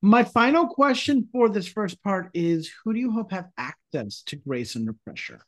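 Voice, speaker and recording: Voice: mid-range voice, sing-song, normal speed. A podcast host speaking in a conversational interview style.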